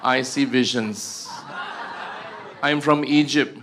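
A man's voice: mid-range voice, fairly even intonation, slow, fairly bright tone, slight background noise. A man with a Malaysian accent giving a humorous teaching talk to a church group, joking at his own expense and tagging sentences with "ah" and "huh". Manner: joking, self-mocking, tags sentences with "ah" and "huh".

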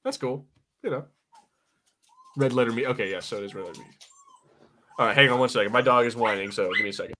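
A man's voice: mid-range voice, fast talker, pauses often, background noise. A man co-hosting a podcast with casual, opinionated banter, speaking bluntly and informally. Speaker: podcast co-host.

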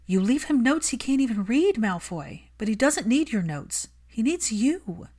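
Woman acting fiercely impassioned, outspoken woman, high-pitched. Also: very animated, unhurried, a little mellow. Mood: defiant. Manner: emphatic, impassioned, argumentative. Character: fiercely outspoken, emotional woman, indignant caregiver of a child.

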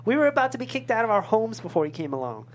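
A woman's voice: fairly high pitch, big pitch swings, rapid-fire, a little hiss or hum. An actress playing a bawdy, teasing older small-town woman in a comedy script table read. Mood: frank.

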